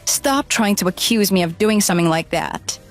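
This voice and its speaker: A woman's voice: mid-range voice, lively intonation, normal speed, faint music behind, lightly acted. Female actor as lying ex-fiancée, mid-range voice, defensive and pleading, easily flustered, for an audio drama. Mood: indignant. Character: deceitful runaway bride, pleading, defensive and indignant. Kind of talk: dramatized phone dialogue.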